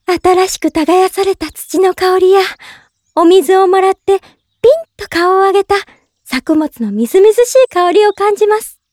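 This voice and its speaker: A woman playing a gentle, polite young woman in love, narrating in character for a drama CD with sweet earnestness. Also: very high voice, normal speed, dry recording, studio quality. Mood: fond, serene.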